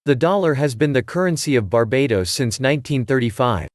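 A man narrating an educational video, reading out facts and figures in an even manner. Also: mid-range voice, a little fast, no echo, clean studio sound.

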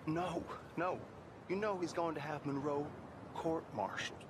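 A man's voice: on the high side, steady tone, measured pace, background noise, close to an everyday voice. An actor playing a concerned army man confiding grim intrigue in a video game drama, urgent in manner. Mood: urgent, worried.

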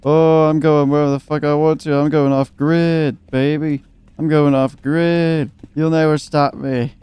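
A man's voice: fairly high pitch, level tone, unhurried, dark tone, smooth. A young man giving casual let's play commentary, joking and swearing and at times reading game text aloud. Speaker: gaming video host. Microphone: close, dry microphone.

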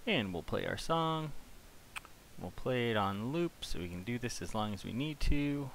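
A man hosting a casual software tutorial, talking informally and thinking aloud as he works. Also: medium pitch, animated, normal speed. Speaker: tutorial host. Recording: clear background hiss.

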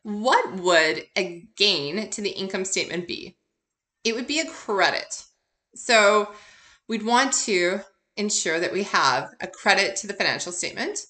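A woman's voice: medium pitch, animated, a little slow, sharp tone. A woman instructor giving an informal recorded lecture, working through examples in a conversational, explanatory way and answering her own questions. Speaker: accounting instructor.

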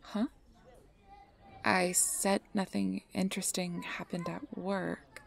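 A woman's voice: medium pitch, little pitch movement, takes it slowly, vocal fry. A woman playing an obsessive, sweet-talking fey lover in an ASMR roleplay, speaking intimately and often breathily. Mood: chatty, easy. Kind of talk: ASMR roleplay, close personal attention.

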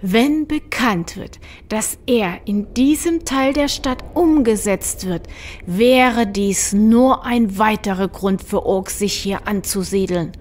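A woman playing a gentle, level-headed troll in a fan audio drama, speaking in a measured, reasoning way. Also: mid-range voice. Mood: reasoned.

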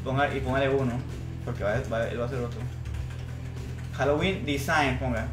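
A man's voice: mid-range voice, fairly even intonation, quick pace. A young adult man hosting a livestream, chatting casually and upbeat as he talks directly to viewers. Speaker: livestream host reading viewer comments. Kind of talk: casual excited stream chat. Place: indoor room, on webcam. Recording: echoey room with music behind.

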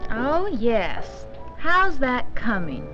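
An actress playing a pleasant, gently wry librarian in an educational film, attentive as she speaks. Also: on the high side, huge pitch swings, drawn-out words, full character voice. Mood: wry, curious. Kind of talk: 1980s educational film acting. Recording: muffled 1980s 16mm film soundtrack, faint music.

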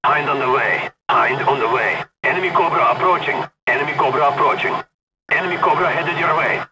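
A man's voice: fairly high pitch, a little fast, lightly acted. Man acting a clipped Russian military commander, noisy recording. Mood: terse, urgent. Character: stern Soviet special forces commander, urgent and commanding. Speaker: game announcer. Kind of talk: terse scripted military game announcements.

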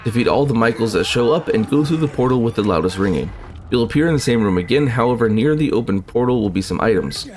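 A man narrating a video game walkthrough in a brisk, matter-of-fact, instructive way. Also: medium pitch, lively intonation, crowd noise. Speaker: gaming channel host.

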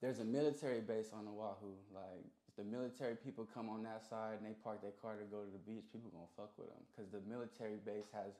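A man's voice: fairly low pitch, steady tone, quick pace, hardly any pauses, dark tone. A young man chatting casually as an interview guest, in slangy, conversational talk with frequent check-in phrases. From Hawaii. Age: young adult. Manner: slangy, peppered with "you know what I mean". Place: small recording studio room. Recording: roomy, echoing sound.

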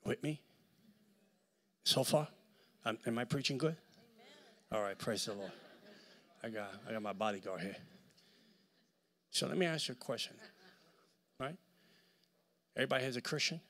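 A middle-aged man with a Puerto Rican accent preaching a sermon with a handheld mic in a large church hall, swinging between shouts and near-whispers. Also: mid-range voice, expressive, moderate pace, pauses often. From Puerto Rico. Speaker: guest preacher.

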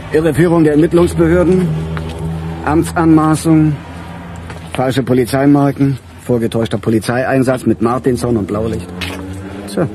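An actor playing a weary, grumbling police chief with a husky voice in a radio crime drama. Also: male voice, mid-range voice, natural voice. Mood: irritated.

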